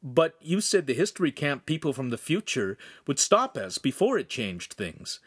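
Storybook teen, questioning and practical, slightly raised animated voice; male narrator acting. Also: mid-range voice, moderate pace, slightly rough, dry recording, clean studio sound. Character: inquisitive, practical teenage boy, questioning and puzzled.

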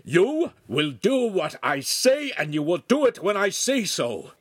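A man narrator plays a big master craftsman in a towering rage. He shouts menacingly, his pitch climbing and swinging as he scolds, in dry audiobook sound. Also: huge pitch swings, unhurried, slightly rough, no echo, heavily acted. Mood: enraged.

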